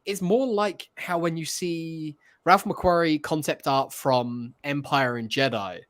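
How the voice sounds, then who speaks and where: A man's voice: on the high side, takes it slowly, fairly bright tone. A man co-hosting a casual film-fan podcast, chatting informally and slipping into mock quotes as he tells things. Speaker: podcast co-host.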